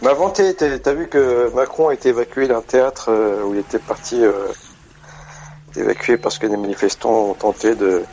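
A man's voice: medium pitch, moderate pace, a little mellow, highs cut off, faint music behind. A man in his forties calling into a radio show, hesitantly searching for his words as he asks a question. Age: 40s. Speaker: radio caller. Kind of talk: call-in radio chat.

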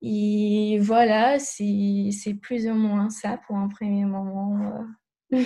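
A Brazilian woman speaking French with a Brazilian accent, a therapist guest in a podcast interview, talking hesitantly with many pauses and restarts. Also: mid-range voice, steady tone, warm tone. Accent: Brazilian Portuguese.

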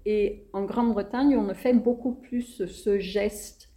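A playwright speaks with a non-native French accent, slowly and deliberately. Her voice is warm and mellow, and she often pauses to find the next word. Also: medium pitch, steady tone, slight background noise. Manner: hesitant, thoughtful, many pauses. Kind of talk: interview answers.

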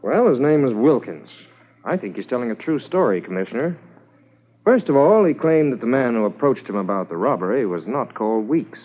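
A man's voice: mid-range voice, big pitch swings, a little fast. A man playing an earnest junior policeman in a 1950s radio drama, reporting respectfully to a superior. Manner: deferential, crisp reporting. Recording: tinny old radio transcription.

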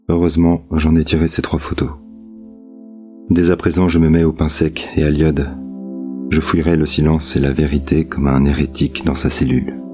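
Audio drama monologue: grave, disillusioned reporter, very low mellow voice, solemn and literary. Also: male voice, husky. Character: Andreï, grave, disillusioned male journalist confessing truth.

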